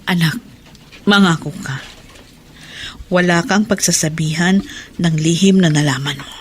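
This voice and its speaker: A woman playing a humble middle-aged washerwoman mother in a Tagalog radio drama, gentle, pleading and sorrowful. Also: fairly low pitch, a little slow, a little hiss or hum, natural voice. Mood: sorrowful, secretive.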